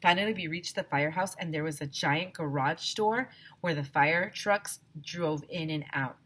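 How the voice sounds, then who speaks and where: A woman teacher reading a children's story aloud, expressively, slowing down for emphasis. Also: deep voice, a bit bright, breathy edge.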